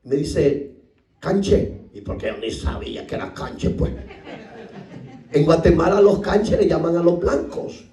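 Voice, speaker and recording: A middle-aged Salvadoran pastor tells his story from the pulpit with a high, animated voice that leaps up and down, acting out each character and breaking into shouts. He sounds set back from the microphone, and the church hall around him echoes. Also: unhurried, far from the mic. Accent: Salvadoran Spanish. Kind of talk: sermon. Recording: echoey room, voice from off the mic.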